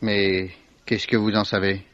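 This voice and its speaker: An actor playing a worldly, evasive Swiss salesman in a 1950s French radio mystery, sardonic, unhurried and given to repeating himself. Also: on the low side, little pitch movement, fairly bright tone, dry recording. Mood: dismissive, amused. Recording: dull, dry vintage radio recording.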